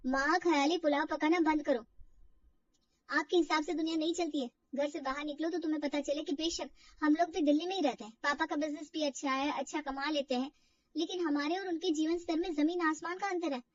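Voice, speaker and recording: Woman voicing a fretful, high-voiced young woman. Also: fairly even intonation, brisk, no echo, far from the mic, muffled recording, close to an everyday voice. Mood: defiant. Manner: fretful, defensive. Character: insecure, self-doubting young woman from Old Delhi.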